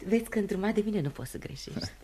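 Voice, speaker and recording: Radio play: actress as alluring, vulnerable confidante, mid-pitched, teasing and intimate, faint music underneath. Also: female voice, expressive, mellow, slight background noise, lightly acted. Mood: amused. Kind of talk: radio theatre acting.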